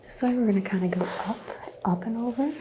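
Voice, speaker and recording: A woman in a soft-spoken, often whispered ASMR roleplay as a chiropractor, giving gentle, reassuring instructions. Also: a little high, fast, background noise. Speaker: ASMR host playing a chiropractor.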